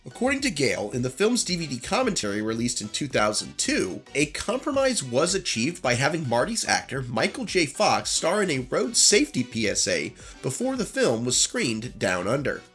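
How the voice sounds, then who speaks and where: A man's voice: mid-range voice, normal speed, hardly any pauses, soft background music. A man with a nasal voice from a cold, narrating a lost media video with self-corrections. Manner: animated reading with self-corrections. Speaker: host.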